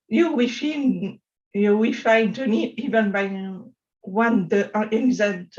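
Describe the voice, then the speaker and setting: Deaf French woman, conference speaker, slow careful delivery, slightly dull audio. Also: mid-range voice. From France. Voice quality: deaf speech. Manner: slow, careful speech with pauses. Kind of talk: prepared conference talk.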